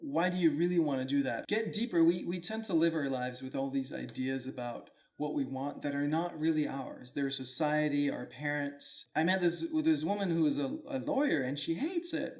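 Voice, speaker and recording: A man's voice: on the high side, darkish tone. A man from Denmark, hosting his own show in an earnest, reflective, casual way. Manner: earnest, reflective, loose with fillers like "like". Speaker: podcast host. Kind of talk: solo motivational talk.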